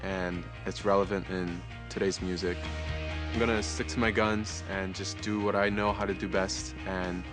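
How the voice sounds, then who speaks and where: A young male singing contestant, a native Korean speaker, talks about himself for a TV profile. His voice is fairly low, and music plays clearly behind him. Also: little pitch movement, moderate pace. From born in Chicago, partly raised in Korea. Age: young adult. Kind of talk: personal interview for a TV profile.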